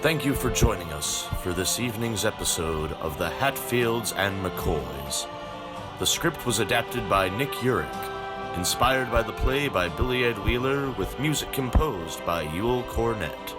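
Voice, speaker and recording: A man's voice: fairly low pitch, animated, unhurried, over loud music. A man reading scripted radio drama credits as the show's announcer.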